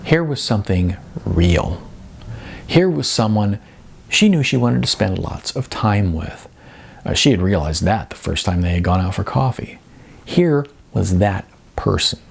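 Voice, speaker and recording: A man narrating fiction as an audiobook reader, in a dramatic, expressive and emphatic way. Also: on the low side, measured pace, gravelly, slightly rough.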